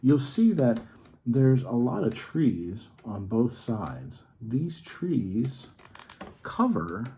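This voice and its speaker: A man's voice: mid-range voice, big pitch swings, drawn-out words, dark tone. A man hosting a children's story time, patiently explaining things in simple terms in an informal, educational read-aloud style.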